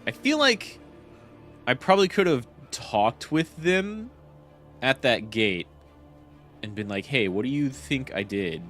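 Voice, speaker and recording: A man's voice: medium pitch, big pitch swings, unhurried, pauses often, a bit bright, faint music behind. A man with a stuffy nose giving casual, chatty commentary while playing a game, swearing and incredulous.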